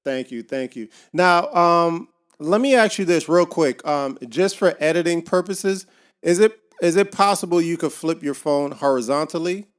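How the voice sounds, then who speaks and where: Man hosting a podcast, smooth voice. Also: a little high, animated, normal speed. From Miami. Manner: hesitant, many fillers and restarts. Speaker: podcast host. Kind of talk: casual interview. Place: home garage.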